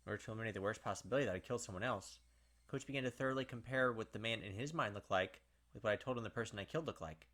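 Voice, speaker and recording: A man hosting a gaming video, reading a visual novel aloud with animated, blunt casual commentary. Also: fairly low pitch, rapid-fire.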